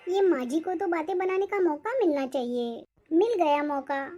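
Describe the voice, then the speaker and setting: A woman's voice: extremely high pitch, animated, normal speed, muffled recording, over music. A woman voicing a put-upon young mother and daughter-in-law in a cartoon family drama, polite but aggrieved. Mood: resentful.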